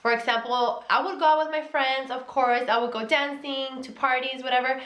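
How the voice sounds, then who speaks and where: A woman's voice: high voice, fairly even intonation, normal speed, a bit bright. A young woman with a Mexican accent giving relationship advice in a casual vlog, earnest and emphatic, repeating key words. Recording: echoey room.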